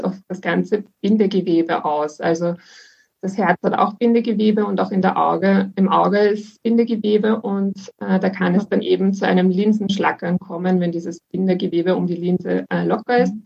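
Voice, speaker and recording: A woman in her twenties from Vienna, speaking, calmly explaining as a guest in an interview with many fillers. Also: medium pitch, vocal fry, husky, highs cut off. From Austria. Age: 20s. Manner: calm, informative, with many fillers.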